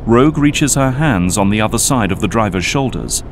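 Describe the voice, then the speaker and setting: A man's voice: fairly low pitch, animated, moderate pace, noisy background. A man reading scripted, dramatic narration as the narrator of an audio drama. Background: background music.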